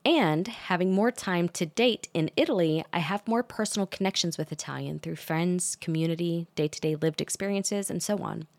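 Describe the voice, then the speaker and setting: A woman hosting a solo podcast, talking in a calm, reflective and measured way. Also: on the low side, no echo. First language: English. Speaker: podcast host.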